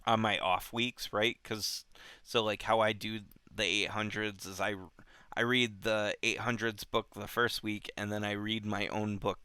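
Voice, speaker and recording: A man hosting a book club show, talking casually and unscripted in a halting way, often repeating himself. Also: on the low side, little pitch movement, pushed and bright, smooth, dry recording.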